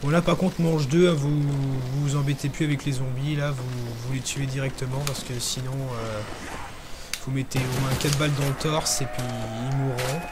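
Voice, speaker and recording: A young man hosting a gaming channel, talking through a zombie game in casual commentary, hesitant with lots of pauses and fillers. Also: mid-range voice, fairly even intonation, heavy background noise. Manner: hesitant, many pauses and fillers, talks while playing.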